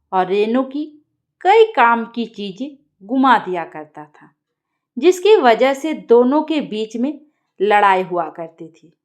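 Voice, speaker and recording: A woman narrating a scripted story as a storyteller, in an expressive and deliberate manner. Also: a little high.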